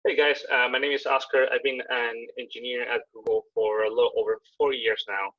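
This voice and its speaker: A man, a software engineer, introducing himself as a panelist in a friendly, easygoing way over muffled video-call audio. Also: medium pitch, flat delivery, fairly quick, dry recording.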